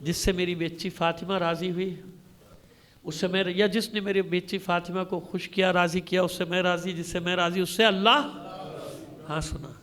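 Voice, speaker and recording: A man's voice: sits high, level tone, moderate pace, fairly bright tone. A man with a Pakistani Urdu accent delivers a mourning sermon as a cleric, telling the story in a dramatic, rhetorical way with repeated phrases. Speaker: Shia cleric reciting a majlis. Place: congregation hall.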